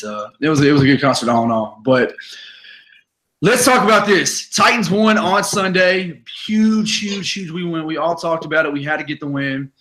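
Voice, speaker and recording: A young man with a raspy voice, hosting a casual sports podcast and telling stories in an energetic, chatty way full of fillers. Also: a little high, poor audio. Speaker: podcast host.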